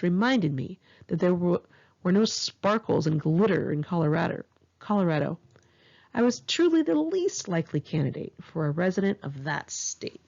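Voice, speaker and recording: A Californian American woman reading her own memoir aloud in a candid, expressive, conversational way, with a husky, creaky voice. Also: lowish voice, highs cut off. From Los Angeles area, California, United States. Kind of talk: narrated memoir audiobook, one-take home reading.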